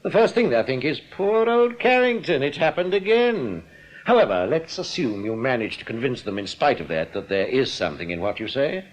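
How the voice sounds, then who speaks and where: An English actor playing a suave, scheming bank manager in a radio drama, wordy and full of oily, theatrical politeness. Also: male voice, medium pitch, very animated, moderate pace, rough recording. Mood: smugly menacing. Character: suave, smug, scheming male bank manager, mock-gracious crook. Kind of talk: British radio drama acting.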